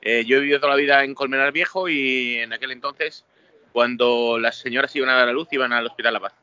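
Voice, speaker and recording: A man's voice: medium pitch, fairly even intonation, fast talker, bright, forceful tone, smooth, highs cut off. A man from Spain with a Madrid accent, a journalist guest answering interview questions hesitantly, with restarts and fillers. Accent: Madrid Spanish. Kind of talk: casual interview.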